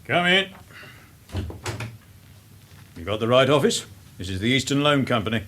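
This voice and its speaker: Curt shady loan manager. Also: male voice, mid-range voice, sing-song, normal speed, bright, forceful tone, a little hiss or hum. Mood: guarded, businesslike. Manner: curt, politely dismissive. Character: guarded, curt male loan company manager, radio-drama villain. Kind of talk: classic British radio drama acting.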